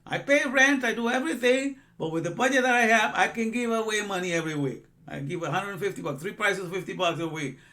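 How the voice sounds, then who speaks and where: A man's voice: high-pitched, fairly quick, few pauses, bright, forceful tone. A man in his sixties, from Guatemala, giving an informal religious lesson in a rambling way with many asides and repeated phrases. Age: 60s. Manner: rambling, repeats himself, frequent "you know". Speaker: religious teacher.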